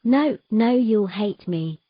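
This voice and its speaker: A woman's voice: mid-range voice, lively intonation, takes it slowly, no echo, dull audio. A woman playing a troubled, earnest young mermaid in a romantic fantasy audio drama. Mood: distressed, ashamed.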